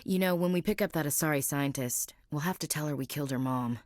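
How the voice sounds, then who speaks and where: A woman's voice: deep voice, fast talker, darkish tone, no room sound, natural voice. A young American woman playing a tough, candid female space marine in a video game, with a frank, dry manner and military politeness. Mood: frank, wry. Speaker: game character, soldier. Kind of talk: naturalistic video game voice acting.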